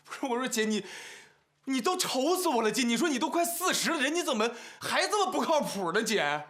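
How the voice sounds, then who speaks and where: A man's voice: very high voice, very animated, a little fast, a bit bright, exaggerated voice. An actor playing an exasperated, long-suffering younger brother in his thirties in a TV family drama, pleading in rhetorical questions. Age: 30s. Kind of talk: naturalistic TV drama acting. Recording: background music under dialogue.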